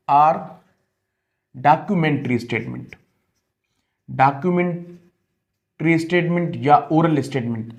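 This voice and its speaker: A man teaching a law exam-prep lesson, explaining step by step and repeating key terms, slowing into careful English when he reads legal text aloud. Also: a little high, a little mellow. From Pakistan. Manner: switches into slow, deliberate English when reading legal text. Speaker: law lecturer.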